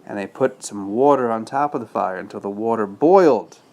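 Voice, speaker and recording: Patient dad reading a bedtime story. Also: male voice, fairly low pitch, fairly quick, a little mellow. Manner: patient explaining with check-in questions. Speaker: father reading to his child.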